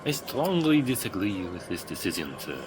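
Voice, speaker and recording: Man as an upset subordinate, widely swinging pitch. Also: very animated, normal speed, low-quality recording. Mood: upset, objecting. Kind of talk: audio drama acting. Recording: music behind the voice.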